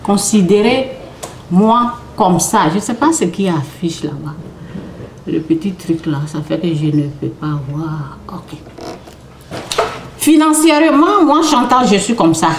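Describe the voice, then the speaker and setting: A woman's voice: medium pitch, slow, slight background noise. A woman from Côte d'Ivoire gives an informal spiritual talk as an author. She speaks off the cuff, restarts phrases and keeps checking in with her listeners. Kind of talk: informal spiritual teaching to an audience. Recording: roomy echo.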